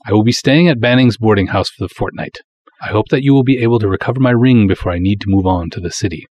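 A man's voice: on the low side, fast talker, darkish tone, dry recording, natural voice. A man voicing a formal, haughty, secretive Egyptian doctor with stilted, courteous, ornate speech in a dramatic story reading. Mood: grave, pleading.

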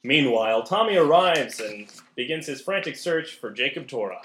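A man's voice: medium pitch, lively intonation, normal speed, a bit bright, natural voice. A man narrating a scripted radio play. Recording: roomy, echoing recording.

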